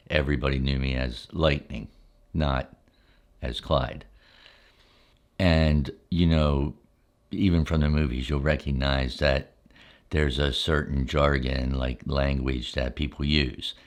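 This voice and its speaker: An older man reminiscing in a relaxed, casual ASMR style, speaking in unhurried, halting phrases with folksy asides. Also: extremely low pitch. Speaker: ASMR storyteller.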